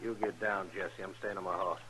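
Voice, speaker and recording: A man's voice: sits low, steady tone, a little fast, background noise, natural voice. An actor playing a cold, bossy gunman with an American Western accent and a curt manner in a radio drama. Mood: impatient. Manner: curt, commanding, callous. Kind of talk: 1950s radio western acting.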